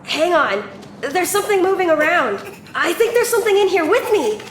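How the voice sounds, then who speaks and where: An eager young pirate boy in a cartoon adventure. The voice is very high and leaps about, excitable and a little put-upon, in an echoey space. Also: moderate pace, background noise. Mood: uneasy.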